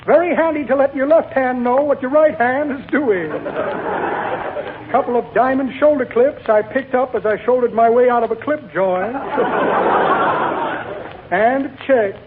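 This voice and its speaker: Rambling old jokester, very high voice, 1940s radio comedy, noisy old recording with audience. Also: male voice, unhurried, pauses often, a loud crowd. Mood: jovial, sly. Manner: muttering to himself, chuckling at own jokes. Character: rambling old windbag cracking corny jokes. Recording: tinny 1940 radio, noisy, live audience.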